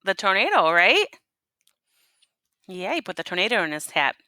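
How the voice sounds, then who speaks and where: A woman teacher reading a storybook aloud to children and pausing to ask them questions. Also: mid-range voice, very animated, pushed and bright, no room sound, clean studio sound.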